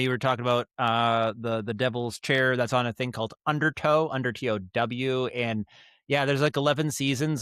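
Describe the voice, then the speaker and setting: A man's voice: medium pitch, no room sound, clean studio sound. A man from Maine with an American accent, talking casually as a podcast guest, rambling through stories and acting out asides. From Maine, United States. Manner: rambling, animated acted-out asides. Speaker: podcast guest, audio drama director and writer. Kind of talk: casual interview storytelling.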